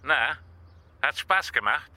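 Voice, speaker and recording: An actor playing a mocking anonymous phone caller who taunts and pries in a radio play. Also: male voice, a little high, animated, slow, bright, forceful tone, no room sound, full character voice. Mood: mocking, menacing. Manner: taunting, sneering.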